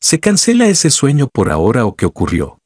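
A man's voice: mid-range voice, huge pitch swings, brisk, darkish tone, slightly rough, no room sound, studio quality. A man narrating a mocking commentary voice-over, scornful and sarcastic, with music behind. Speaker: channel narrator.

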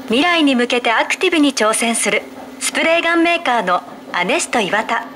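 A woman giving polite, measured, formal scripted announcements as a recorded announcer inside a subway car. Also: animated, a bit bright. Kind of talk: scripted public transit announcement. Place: played over speakers inside a subway car. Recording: noisy train interior, constant rumble.

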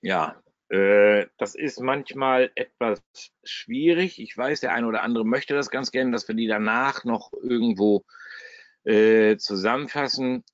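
A man's voice: mid-range voice, a little slow, dry recording. A man with a German regional dialect hosting a live trading webinar, talking bluntly and chattily with salty humor while he walks through his screen. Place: at a computer, screen shared. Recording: dry, slightly dull webinar audio.

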